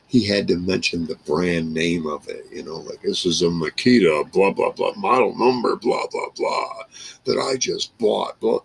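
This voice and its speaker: A man in his sixties speaks in a very low voice with heavy gravel and a husky edge. He reminisces casually, rambling from one thought to the next in salty, plainspoken language. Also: fairly bright tone, low-quality recording. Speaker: vlogger. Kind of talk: casual reminiscing monologue to camera. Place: small wood-paneled room indoors.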